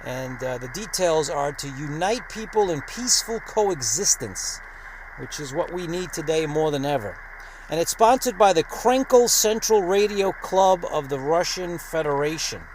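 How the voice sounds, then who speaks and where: Guy doing a ham radio vlog, voice a bit high, pretty noisy recording. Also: animated, moderate pace. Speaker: host of an amateur radio channel. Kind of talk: vlog narration with radio call-sign operating.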